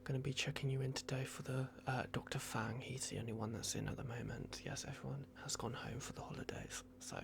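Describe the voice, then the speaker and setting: A man speaks in a whispery hush with a lot of vocal fry. He is doing an ASMR roleplay as a courteous, flustered vampire clinic worker. His delivery is hesitant and apologetic. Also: medium pitch, fairly even intonation, brisk, hardly any pauses.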